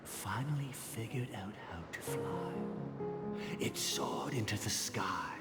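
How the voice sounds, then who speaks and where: A man playing a calm, fatherly older guardian in a video game, speaking in a measured, gently formal way. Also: on the low side, in a whisper, loud music behind the voice. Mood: gentle, reflective. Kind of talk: scripted video game voice acting.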